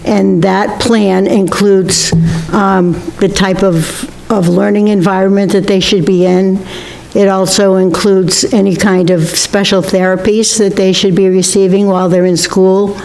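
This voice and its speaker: Older woman, local candidate, halting delivery, faint noise. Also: mid-range voice, level tone, a little mellow, rough recording. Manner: halting, restarts, clears throat. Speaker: school committee candidate. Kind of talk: candidate forum answer.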